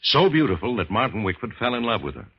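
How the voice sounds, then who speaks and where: An actor playing a grave, learned doctor of the supernatural, solemn and portentous, in a 1950s radio horror drama. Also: male voice, mid-range voice, big pitch swings, fast talker, slightly rough. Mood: grave, ominous. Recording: dull, lo-fi vintage radio recording.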